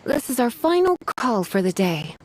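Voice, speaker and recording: A woman's voice: fairly high pitch, big pitch swings, normal speed. A woman voicing a sultry, teasing librarian with a menacing streak in an anime game, speaking in a coy, languid manner. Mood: coolly menacing. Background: faint music.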